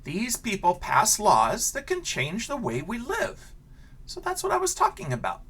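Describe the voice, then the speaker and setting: A man who is a teacher reading a children's picture book aloud, with expressive questions and casual asides pitched at young listeners. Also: measured pace, breathy edge.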